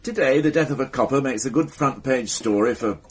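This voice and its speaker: An actor playing a dry, wry police inspector in a radio drama. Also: male voice, mid-range voice, a little fast, breathy edge, natural voice.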